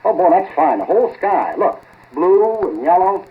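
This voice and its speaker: A man's voice: high voice, big pitch swings, moderate pace. A male actor playing an eager, grumbling laboratory assistant in a folksy 1940s radio drama style. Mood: wondering. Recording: tinny, scratchy 1940s radio recording.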